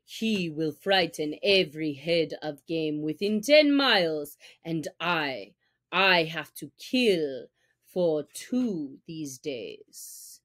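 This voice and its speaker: A woman voicing a stern, irritable father wolf in a storybook, speaking in a stiff, gruff, curt way. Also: sits low, lively intonation, slow, fairly bright tone, pitched below the performer's own voice, heavily acted. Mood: angry.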